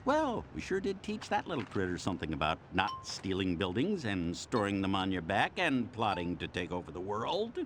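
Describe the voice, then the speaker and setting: Exasperated, wildly swooping cartoon sidekick. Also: male voice, low-pitched, wildly swooping pitch, normal speed, few pauses, very noisy. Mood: matter-of-fact. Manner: grumbling, swooping into melodrama. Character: exasperated male superhero sidekick, peevish yet gung-ho. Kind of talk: cartoon video game acting.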